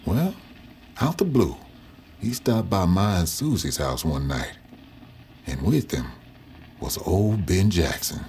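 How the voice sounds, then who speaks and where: A man's voice: low-pitched, big pitch swings, slow pace, long pauses, a little mellow, slight background noise, full character voice. An actor playing a folksy Southern man telling grim memories in a matter-of-fact way for an audio drama. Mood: reflective. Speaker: narrator telling his story to an interviewer. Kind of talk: audio drama first-person storytelling.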